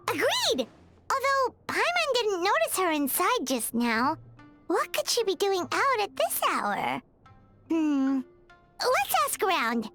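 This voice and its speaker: A very high, childlike game companion, bubbly and chatty. Her delivery is extremely animated and cutesy, leaping around in pitch, with music playing clearly behind her. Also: exaggerated voice. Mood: excited, curious. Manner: speaks of herself in third person, exclaiming, cutesy. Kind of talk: video game character acting.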